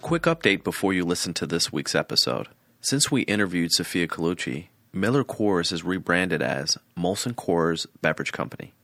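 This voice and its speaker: A man hosting a podcast interview, reading a scripted intro and then asking relaxed, friendly questions. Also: low-pitched, normal speed, dry recording. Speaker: podcast host.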